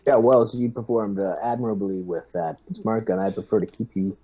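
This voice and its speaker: A man with a mellow, average-pitched voice plays a squad leader at a tabletop game. He gives orders in a measured way, on slightly dull audio. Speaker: player acting as squad lieutenant. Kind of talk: in-character tabletop game talk.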